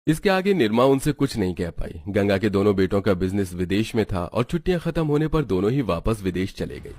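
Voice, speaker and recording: Low-voiced male storybook narrator. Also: big pitch swings, fairly quick, a little mellow, no room sound, studio quality.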